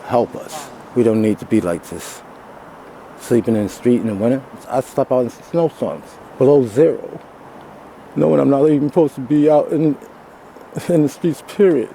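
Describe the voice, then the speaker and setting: A man's voice: mid-range voice, moderate pace, dark tone, rough recording. A man giving plain-spoken, pleading testimony in an unscripted interview outdoors. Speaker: homeless interviewee. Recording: outdoor street noise.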